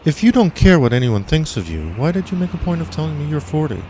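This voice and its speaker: A man's voice: a little high, big pitch swings, fast talker, warm tone, a little hiss or hum, voice pushed up. A man voicing a teasing, blunt woman driver in an audiobook story, asking probing questions. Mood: curious, probing.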